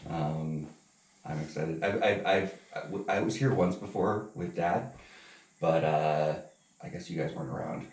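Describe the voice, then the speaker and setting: A man's voice: deep voice, measured pace, darkish tone. A man interviewing casually in a hesitant, rambling way that often trails off. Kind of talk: casual chat. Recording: roomy, distant from the mic.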